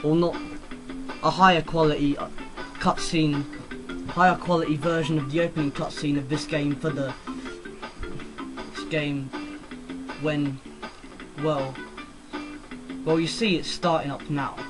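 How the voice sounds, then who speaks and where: A man's voice: a little high, flat delivery, measured pace, long pauses. A man giving casual Let's Play commentary as a co-host, explaining things in a rambling, repetitive way. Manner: rambling, repeats phrases as explaining. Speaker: gaming co-host. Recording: loud game music behind the voice.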